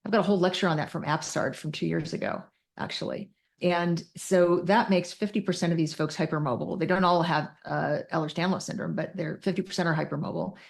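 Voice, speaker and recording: A woman's voice: on the low side, fairly even intonation, fast, gravelly. A woman speaking as an expert guest in an interview, explaining things in a candid, informal way. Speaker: guest, psychiatric clinician.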